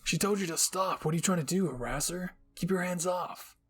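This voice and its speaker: A man acts out a brash teenage boy in an anime tale, quick to accuse. He sounds abrasive and indignant, with a raised, husky voice. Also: sits high, fairly bright tone. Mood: hostile. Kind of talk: anime fan-fiction audio reading.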